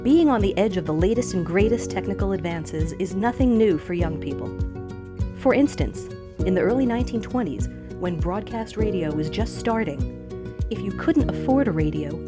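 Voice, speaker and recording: A woman's voice: mid-range voice, lively intonation, moderate pace. A woman reading scripted narration for an educational video, speaking directly to young listeners. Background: background music.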